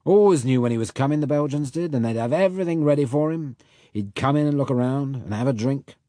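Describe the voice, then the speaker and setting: A man voicing a rough Cockney boat mechanic who talks in blunt working-class slang. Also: medium pitch, quick pace, mellow, no room sound, studio quality, pitched above the performer's own voice, lightly acted. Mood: persuasive.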